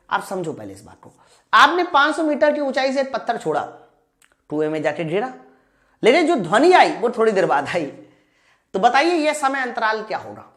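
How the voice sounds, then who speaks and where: A woman's voice: a little high, fairly bright tone. A woman teaching physics in an online class, informally addressing students, asking questions and answering them herself. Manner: asks questions and answers them herself, repeats key lines. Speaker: physics teacher for exam preparation. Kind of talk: live online class, explaining problems step by step.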